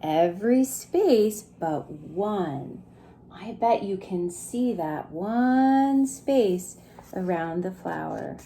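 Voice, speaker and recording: A woman reading a picture book aloud to young children in an expressive, gentle way, pausing to ask them questions. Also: medium pitch, a little hiss or hum. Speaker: preschool story time reader.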